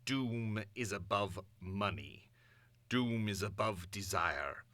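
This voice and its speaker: Man playing imperious supervillain dictator, low pitch, pompous theatrical declaiming, deliberate comic-book monologue, very dry close sound. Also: fairly bright tone, dry recording. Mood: grandiose. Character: imperious, grandiose male supervillain dictator addressing the world. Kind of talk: dramatic villain monologue.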